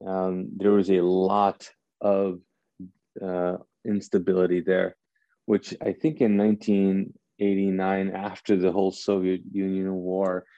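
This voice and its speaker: Deep, flat-voiced man in his forties. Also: no room sound, highs cut off. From Kuwait. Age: 40s. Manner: halting, thoughtful, searching for words. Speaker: speaker addressing an audience. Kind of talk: personal reflective talk.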